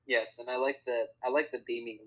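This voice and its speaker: A man's voice: medium pitch, fairly even intonation, a little fast, fairly bright tone, dull audio. A teenage male podcast co-host chatting casually about films, chiming in and teasing. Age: teens. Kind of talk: casual film chat.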